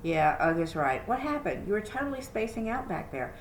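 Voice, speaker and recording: A woman's voice: medium pitch, big pitch swings, brisk, voice pushed up. A storybook schoolboy, put on by a woman reader, dry and skeptical with a teasing streak. He sounds like a concerned best friend. The room echoes and has faint noise. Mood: skeptical, probing. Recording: roomy echo, background noise.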